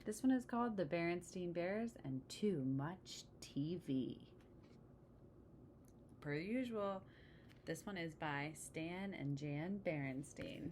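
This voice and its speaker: Low-voiced woman reading a picture book aloud. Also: expressive, slow pace, darkish tone, slight background noise. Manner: conversational, explains pictures to young listeners. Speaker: read-aloud storyteller. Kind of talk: picture-book read-aloud with casual asides.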